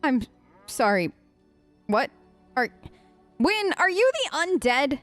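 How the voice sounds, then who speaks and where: A woman gamer hosting a role-play let's play, conversational, expressive and emphatic. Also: high voice, slow pace. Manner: expressive, emphatic, incredulous asides. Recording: close dry mic, faint game music. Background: faint game music.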